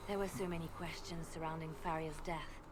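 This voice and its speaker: A woman's voice: sits low, steady tone, fairly quick, lightly acted. A woman voicing a ruthless spymaster in a video game drama, grave, controlled and formal. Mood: grim resolve. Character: cold, ruthless female spymaster. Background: crowd noise.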